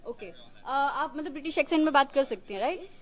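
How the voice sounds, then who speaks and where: A woman presenting a live news interview, speaking gently with small hesitations. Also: sits high, animated, fairly quick, poor audio.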